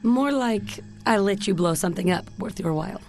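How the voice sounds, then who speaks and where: An actress playing a composed, teasing Soviet spy in a video game, with a Russian accent. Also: female voice, medium pitch, expressive, moderate pace, a little mellow, soft background music, lightly acted. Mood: coolly teasing. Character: cool, sultry female Soviet spy, wryly in control. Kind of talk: video game cutscene acting.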